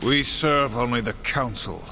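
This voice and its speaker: A man's voice: mid-range voice, measured pace, bright, forceful tone, a little hiss or hum. A man playing a stern, honor-bound warrior horseman in a video game, terse, formal and solemn. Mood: solemn, dry. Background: dramatic game music.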